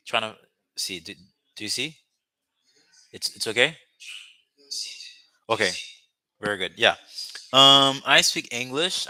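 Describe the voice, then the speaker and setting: Low, bright-toned Haitian man on a live stream. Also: no room sound. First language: Haitian Creole. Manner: rambling, many fillers and restarts, chatty with viewers. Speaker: language-learning streamer. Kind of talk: casual live stream talk to viewers. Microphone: webcam or phone mic, speaking to camera.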